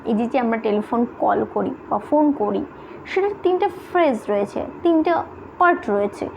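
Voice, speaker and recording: A woman's voice: a little high, expressive, moderate pace, gravelly. A woman gives a classroom-style explanation. She restates key points and often poses a question before answering it herself. The recording is somewhat dull, with light noise behind her. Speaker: teacher. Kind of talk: explanatory classroom lesson in Bengali mixed with English terms. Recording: dull lo-fi sound with background noise.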